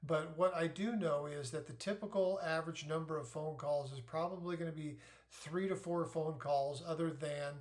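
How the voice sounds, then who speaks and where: A middle-aged man giving an informal explainer as a parent sharing advice, deliberate and methodical in how he lays things out. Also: fairly high pitch. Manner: deliberate, methodical, poses questions then answers them.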